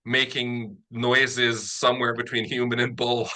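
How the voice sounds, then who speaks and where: A man's voice: medium pitch, little pitch movement, measured pace, sharp tone, rough recording. A man speaking conversationally as an interviewer and writer, explaining his ideas in an analytical way. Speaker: interviewer, audio drama writer.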